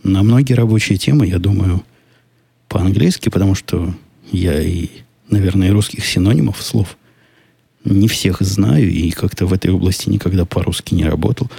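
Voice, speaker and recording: A man with a deep voice and a dark, husky tone hosts a solo podcast and answers listener questions in a loose, musing way. He sounds skeptical and thinks out loud, breaking off and restarting his sentences. The sound is dry and close, with a gravelly texture. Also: moderate pace, dry recording. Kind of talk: casual solo podcast monologue.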